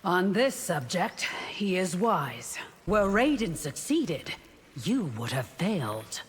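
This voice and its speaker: An actress playing a stern, proud royal guard warrior, curt and disdainful, in game banter. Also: female voice, fairly low pitch, very animated, slow pace, slightly rough, full character voice. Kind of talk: video game character banter. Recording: long hall reverb, faint background noise.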